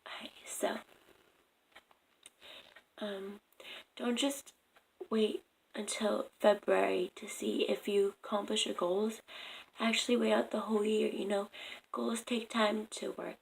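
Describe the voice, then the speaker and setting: A young woman vlogging at home on her phone camera, speaking softly in a raspy, half-whispered morning voice. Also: medium pitch, little pitch movement, slow pace, pauses often, rough recording. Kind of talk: casual advice vlog.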